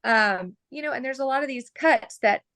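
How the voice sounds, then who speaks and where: A woman from Oklahoma, a butcher, talking frankly as a podcast guest while losing her voice and clearing her throat. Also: sits high, normal speed, fairly bright tone, dry recording. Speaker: butcher and mobile processor owner.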